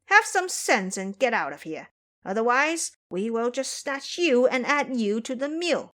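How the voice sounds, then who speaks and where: A woman's voice: on the high side, big pitch swings, unhurried, a bit bright. A woman voicing a swaggering male tiger monster henchman in an audio drama, boastful and mocking. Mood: smug, menacing.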